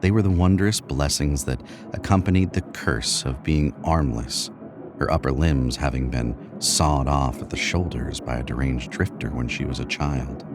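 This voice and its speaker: A man with a very low voice narrates a Western tale. The delivery is scripted storytelling. Music is clearly heard behind him. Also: normal speed.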